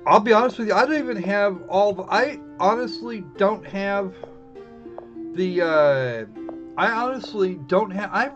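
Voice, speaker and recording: High-pitched man, rambling game stream host. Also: expressive, unhurried. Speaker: livestream host playing games. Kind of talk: casual live game commentary. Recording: muffled, dull band-limited sound. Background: faint game music.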